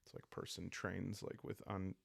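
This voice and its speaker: A man calmly narrating a solo journaling game, soft-spoken and musing, halting as he improvises aloud. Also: low voice, vocal fry, breathy edge, no echo. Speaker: solo roleplayer and show host.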